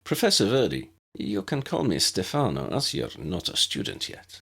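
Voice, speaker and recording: A suave Italian professor, voiced by a man reading a storybook, theatrical and courteous. His voice swoops widely and has a gravelly grain, with playful, gallant charm. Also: fairly low pitch, wildly swooping pitch, moderate pace, heavily acted. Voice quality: warm, smooth, musical.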